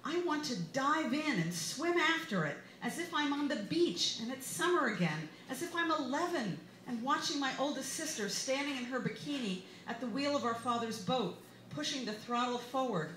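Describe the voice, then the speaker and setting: A woman's voice: high-pitched, expressive, normal speed, fairly bright tone. American woman reading aloud, echoey room. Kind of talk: expressive memoir reading at a live literary event. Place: live reading in a bar. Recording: roomy, echoey bar with background noise.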